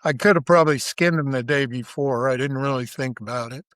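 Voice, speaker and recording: A man's voice: mid-range voice, brisk, fairly bright tone, dry recording, clean studio sound. A man speaking as an interview guest, explaining things in an informal, plain-spoken conversational way. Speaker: interview guest, barbecue cook.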